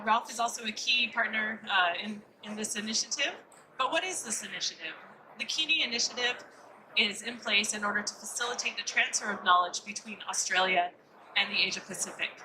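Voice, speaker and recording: A woman's voice: medium pitch, bright, forceful tone, slight background noise, poor audio. A woman from California with a Californian American accent, giving an upbeat conference presentation and asking and answering her own questions.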